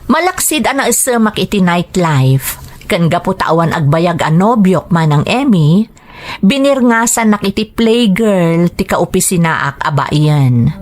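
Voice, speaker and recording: A woman's voice: fairly low pitch, very animated, measured pace. A woman with an Ilocano Filipino accent playing a flirtatious office worker in a drama. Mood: confiding narration. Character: flirtatious playgirl office worker pursuing a married male colleague. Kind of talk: Ilocano radio-style drama acting.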